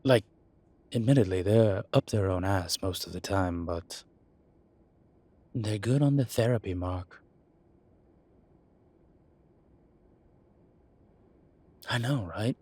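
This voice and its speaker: A man playing an ancient demon professor who is gruff but contrite and caring, speaking intimately to one listener in a halting, measured, husky voice. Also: a little mellow, no echo. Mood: wry, reassuring. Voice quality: husky, breathy low voice. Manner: slow, halting, measured delivery with sighs.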